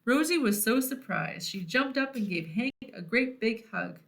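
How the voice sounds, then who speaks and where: A woman reading a picture book aloud to children in an expressive storytelling style. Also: fairly high pitch, normal speed, creaky. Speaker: children's read-aloud host.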